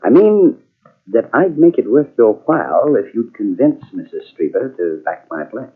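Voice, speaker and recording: An actor in an old-time radio mystery playing a scheming would-be playwright husband, smooth and insinuating, with menace underneath. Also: male voice, mid-range voice, very animated. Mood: oily, coaxing. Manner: smooth, insinuating, quick to turn threatening. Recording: tinny, band-limited old radio cassette transfer.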